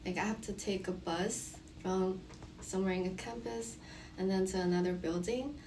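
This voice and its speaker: Medium-pitched young woman from China chatting. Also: steady tone, normal speed. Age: young adult. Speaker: student guest. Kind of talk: casual chat. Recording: faint background noise, room ambience.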